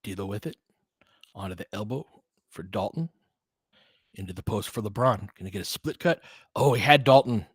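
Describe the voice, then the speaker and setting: A man's voice: on the low side, animated, moderate pace, long pauses, slightly rough, dry recording. A man doing casual, partisan live basketball play-by-play in short, clipped, informal phrases, reacting emotionally to each play. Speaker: play-by-play commentator and host. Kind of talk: casual, fan-biased live basketball commentary.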